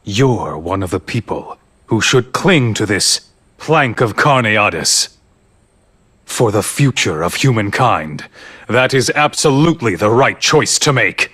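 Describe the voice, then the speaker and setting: Male game villain-leader: low, very slow, courteous, eloquent, persuasive. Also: sing-song, a bit bright, husky, heavily acted. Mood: grave, persuasive. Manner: formal, measured, eloquent, grandiose. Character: calm, persuasive male leader behind a grand scheme. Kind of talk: dubbed video game cutscene acting.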